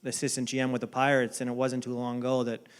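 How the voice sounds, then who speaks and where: A man with a Boston accent answers questions at a casual pace, his voice smooth and resonant. He fills his answers with ums and restarts, sounding easygoing and unhurried. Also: medium pitch. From Boston area. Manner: relaxed, many ums and restarts. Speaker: baseball front office executive. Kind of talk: casual interview at a dinner event.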